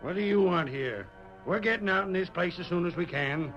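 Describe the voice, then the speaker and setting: An actor playing an excitable, indignant cattle rancher with an American accent and a blustering, folksy manner in a radio western. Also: male voice, high voice, a little fast, soft background music. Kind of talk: old-time radio western acting. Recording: dull vintage radio recording.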